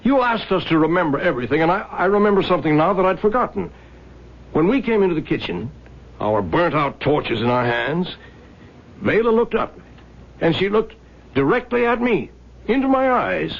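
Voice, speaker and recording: An actor playing an earnest, haunted young husband in a radio drama, telling his memories in an intense, halting, wondering way with a husky voice. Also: high voice, big pitch swings, heavily acted. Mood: awed, intense. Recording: dull 1970s radio recording.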